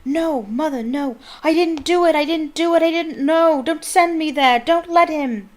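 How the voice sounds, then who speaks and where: A woman's voice: high-pitched, measured pace, pitched above the performer's own voice. A woman voicing a terrified young man in chains who shrieks and pleads desperately in an audiobook.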